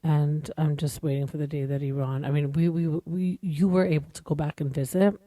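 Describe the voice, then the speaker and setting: A woman's voice: low-pitched, fairly even intonation, a little fast, mellow, no room sound. A woman in her forties hosting a podcast, talking in an earnest, rambling, conversational way. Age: 40s. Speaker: podcast host. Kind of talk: casual podcast monologue.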